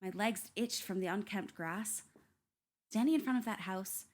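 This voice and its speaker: A woman playing a composed Coast Guard investigator who narrates a radio play in a measured, deliberate way. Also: medium pitch, natural voice. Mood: brooding, measured.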